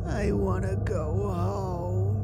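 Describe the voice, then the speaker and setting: Man voicing a female cartoon pegasus, terrified victim, high-pitched, strained, pleading, fan story reading. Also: little pitch movement, drawn-out words, vocal fry, over music, full character voice. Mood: weak, sobbing.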